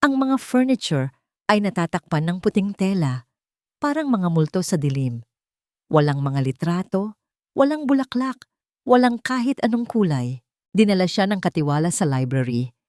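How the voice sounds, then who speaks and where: A woman's voice: on the low side, big pitch swings, normal speed, a little mellow, dry recording, studio quality. A woman reading a dramatic scripted story as its narrator.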